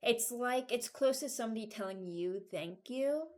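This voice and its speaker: Casual woman thinking aloud, halting. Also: fairly high pitch, lively intonation. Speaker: video creator. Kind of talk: casual commentary, ranking game voice lines.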